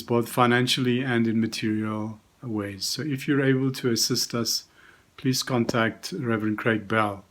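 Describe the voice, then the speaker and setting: Male notices reader, mid pitch, measured pastoral delivery. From South Africa. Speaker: church notices presenter. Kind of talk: reading church notices.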